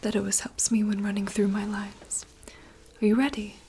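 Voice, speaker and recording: A woman in a gentle, reassuring ASMR roleplay whisper, offering help as a friend. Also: medium pitch, little pitch movement, normal speed, slight background noise. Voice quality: soft unvoiced whisper.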